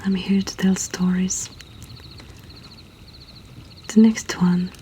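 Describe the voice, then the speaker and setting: A woman reading poetry and stories in a breathy whisper, in a soothing, hushed ASMR style. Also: on the low side, level tone, a little hiss or hum. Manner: slow, soothing, hushed delivery. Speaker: poetry reader and host.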